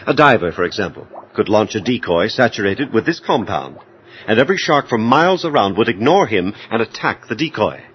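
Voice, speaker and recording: A dull recording with faint noise carries a self-absorbed scientist from a horror audio drama. His voice is on the low side and swings widely in pitch. He phrases everything formally and precisely, with clinical detachment. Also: big pitch swings, fairly quick. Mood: coolly absorbed. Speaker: actor and narrator in an audio drama. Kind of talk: audio horror drama acting with first-person narration.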